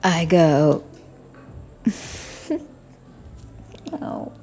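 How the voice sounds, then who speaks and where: A woman performing a scripted audio roleplay as a flirty, teasing bartender, speaking softly and often near a whisper. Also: low-pitched, steady tone, very slow.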